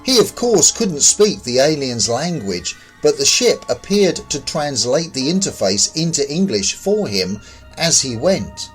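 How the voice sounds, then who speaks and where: A man's voice: fairly high pitch, very animated, unhurried, soft background music, rough recording. A man reading scripted fiction as the narrator of an audio story. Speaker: author and narrator.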